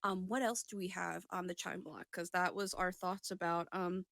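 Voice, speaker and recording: A woman with a bright, forward voice chats on a podcast in a close, dry sound. She is casual and slangy, swearing freely as she banters with her co-host. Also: fairly low pitch, fairly even intonation, moderate pace, vocal fry, no echo. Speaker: podcast co-host and aspiring voice actor.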